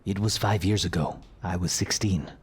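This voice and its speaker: An actor playing a reserved young former soldier in a video game, recounting things in a subdued, hesitant way. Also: male voice, deep voice, fairly even intonation, normal speed, slight background noise, lightly acted. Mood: reflective, subdued. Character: reserved, brooding young ex-soldier man telling his past. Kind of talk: video game character narration.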